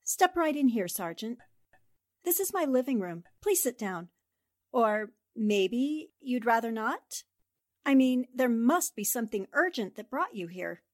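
A quick-witted newspaper reporter from a vintage radio drama, voiced by a woman. Her voice is high and very animated, polite and eager with an inquisitive edge. The recording is dry and close. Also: no echo. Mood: gracious. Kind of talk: 1940s radio drama acting.

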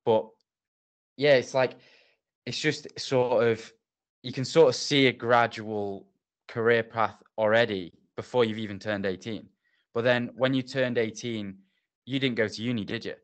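A man hosting a podcast interview, reflecting in a relaxed way and asking follow-up questions. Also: medium pitch, a little slow. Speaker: podcast host. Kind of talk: casual interview.

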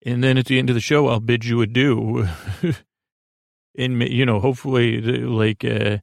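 A man with a creaky voice hosting a bedtime podcast in a soothing, halting monologue that meanders off into whimsical tangents. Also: mid-range voice, steady tone, no echo, clean studio sound. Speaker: podcast host.

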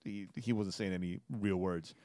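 A man's voice: sits low, steady tone, normal speed, mellow, no room sound. A man in his forties co-hosting a podcast, telling stories animatedly, laughing often and checking in with "right?". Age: 40s. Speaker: podcast co-host. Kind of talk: casual chat.

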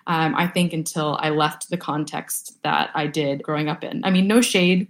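A woman's voice: sits low, steady tone, normal speed. A young woman from the Boston area, a food brand co-founder, talking as a guest in a casual interview, upbeat and chatty with lots of "like". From Boston area, USA. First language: Vietnamese. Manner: upbeat, chatty, many "like" fillers.

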